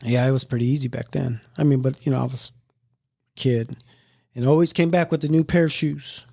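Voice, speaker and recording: A man's voice: medium pitch, fairly even intonation, a little fast, gravelly, dark tone, no room sound, studio quality. A middle-aged man hosting a family podcast, chatting casually and rambling with many restarts. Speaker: podcast host.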